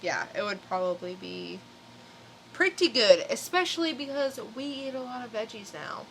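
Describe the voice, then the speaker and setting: A woman's voice: on the high side, very animated, moderate pace, vocal fry, a little hiss or hum, low-quality recording. A woman co-hosting a podcast, chatting casually as she shares stories. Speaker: podcast co-host. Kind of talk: casual chat, telling news stories.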